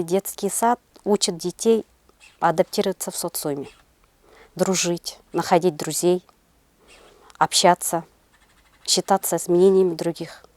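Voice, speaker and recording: Middle-aged woman, low voice, halting delivery. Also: darkish tone, dry recording. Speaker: interview guest, senior kindergarten teacher. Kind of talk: interview answers.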